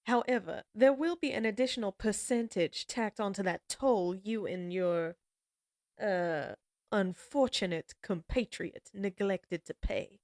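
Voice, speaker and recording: A woman playing a slow-talking menacing outlaw leader. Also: medium pitch, a little mellow, no echo, studio quality, lightly acted. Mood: taunting. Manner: slow, taunting drawl. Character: menacing female outlaw gang leader, mocking. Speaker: voice actress. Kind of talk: audio roleplay acting.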